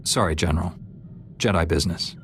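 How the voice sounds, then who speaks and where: A man playing a steady, dutiful, courageous Jedi knight in a video game. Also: deep voice, brisk, a little hiss or hum, natural voice. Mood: firm. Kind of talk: dramatic video game acting.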